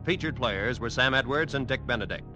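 A man's voice: mid-range voice, lively intonation, fairly quick, sharp tone. An American man reading scripted announcements in vintage radio announcer style. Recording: vintage radio broadcast, music underneath.

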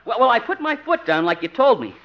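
An actor playing a meek, dim but eager young fellow in a 1950s radio sitcom, hesitant and naive. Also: male voice, very high voice, very animated. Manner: hesitant, naive, slow-witted. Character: meek, dim, eager young male cousin seeking work. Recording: muffled, very noisy old radio recording.

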